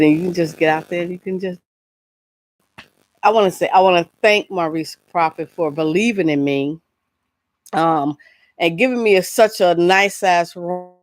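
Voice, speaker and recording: A woman hosting a casual entertainment vlog, talking in a rambling, halting, informal way. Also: on the low side. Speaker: vlog host. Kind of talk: casual chat, entertainment gossip.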